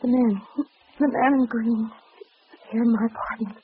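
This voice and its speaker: An actress playing a shaken, frightened woman, speaking haltingly and dramatically in an old radio mystery. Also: fairly high pitch, steady tone, natural voice. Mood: shaken fear. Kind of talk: 1940s radio acting. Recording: muffled old radio recording, faint noise.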